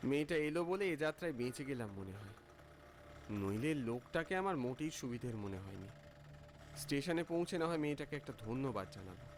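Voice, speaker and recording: A man's voice: mid-range voice, fast, slight background noise, natural voice. A man playing an anxious, impatient saree trader who narrates his night journey in a cartoon story. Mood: uneasy, curious. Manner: fretful, expressive. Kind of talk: dramatised first-person story narration.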